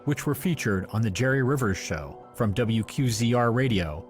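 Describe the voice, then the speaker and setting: A man's voice: mid-range voice, lively intonation, moderate pace. Man narrating an audio documentary over music. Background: background music.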